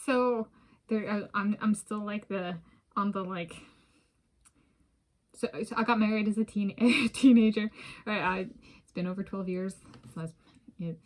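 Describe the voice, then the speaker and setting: American woman in her thirties chatting to camera for a vlog, mid pitch, kinda rambly and stop-start. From United States. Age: 30s. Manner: halting, rambling, repeats and circles back. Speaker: vlogger. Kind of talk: casual personal vlog monologue.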